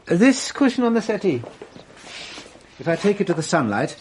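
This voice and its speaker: An actor playing a shrewd French detective inspector in a radio drama, teasing and deliberate in his theatrical delivery. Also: sits high, big pitch swings, noisy background. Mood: shrewd, intrigued.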